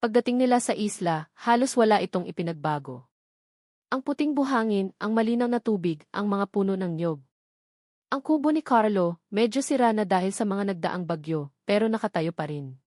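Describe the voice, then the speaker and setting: Female narrator for a romance audiobook, measured and sentimental, medium-pitched, recorded dry. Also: expressive, a little mellow, dry recording. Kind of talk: scripted romance story narration.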